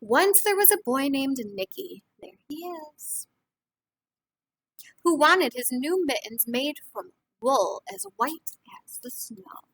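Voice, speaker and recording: A woman reading a picture book aloud as a teacher of young children, pausing for simple explanations and questions to the listeners. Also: sits high, expressive, a bit bright, a little hiss or hum, poor audio.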